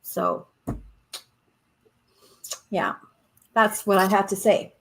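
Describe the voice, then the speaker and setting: A woman co-hosting a casual live stream, talking reflectively and haltingly, with restarts and fillers. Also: medium pitch, fairly even intonation, fairly bright tone, a little hiss or hum, poor audio.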